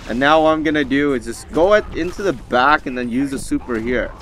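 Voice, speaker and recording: A man's voice: mid-range voice, lively intonation, brisk, fairly bright tone, faint music behind, rough recording. A man giving casual, rambling gameplay commentary as the host of a gaming video.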